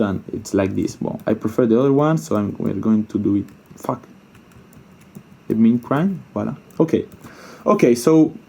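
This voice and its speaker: Mellow man thinking aloud through a tutorial. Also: medium pitch, gravelly. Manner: informal, hesitant thinking aloud, repeats himself, mild swearing. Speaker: tutorial presenter. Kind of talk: casual unscripted screen-share coding tutorial.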